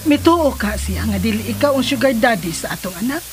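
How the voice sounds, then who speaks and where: A woman's voice: on the high side, animated, a little fast, lightly acted. A woman with a Cebuano accent playing a concerned, questioning mother in a radio drama. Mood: concerned, probing. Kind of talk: Filipino radio drama acting. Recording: noisy, hissy radio drama recording.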